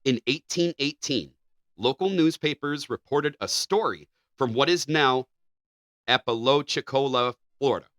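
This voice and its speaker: A man co-hosting a podcast, chatting casually and reading passages aloud in a measured, even delivery. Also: mid-range voice, no echo, studio quality. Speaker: podcast co-host.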